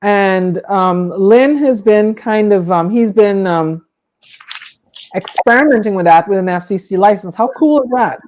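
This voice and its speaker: A woman with a mid-pitched voice co-hosts an informal livestream. She talks casually and conversationally, as one hobbyist chatting to others. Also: unhurried, a little mellow, a little hiss or hum. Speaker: livestream co-host.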